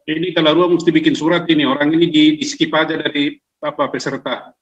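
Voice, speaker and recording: A man's voice: a little high, flat delivery, fast talker. A man speaking indignantly in a casual discussion, heard from a distance with a dull sound.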